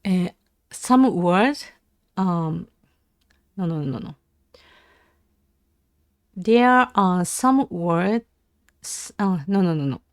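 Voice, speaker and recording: A Japanese woman with a Japanese accent, practising language aloud on a casual livestream in a halting, hesitant way. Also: lowish voice, expressive, no echo, clean studio sound. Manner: hesitant, halting English with self-corrections. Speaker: live streamer learning English. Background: computer keyboard typing and clicking.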